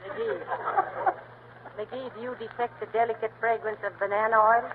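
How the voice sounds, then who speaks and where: A woman playing a patient, sensible wife in a 1940s radio comedy, trading dry, teasing remarks with her husband. Her voice sits at a middle pitch. The sound is tinny and hissy, like an old radio broadcast. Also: fairly even intonation, moderate pace, close to an everyday voice. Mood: wry.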